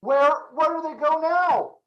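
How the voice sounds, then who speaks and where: A woman's voice: sits high, measured pace. A woman playing a fretful, cowardly lion who whines and exclaims in a theatrical screenplay read. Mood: nervous alarm. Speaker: table read actor. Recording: dull, lo-fi microphone sound.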